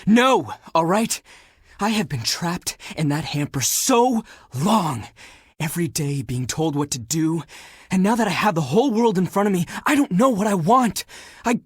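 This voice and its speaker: A man voicing a flirty, laid-back but insecure living pile of laundry in a dating video game, talking in a casual, teasing, breathy way. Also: high voice, expressive, moderate pace, dry recording, studio quality. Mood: anguished, defensive.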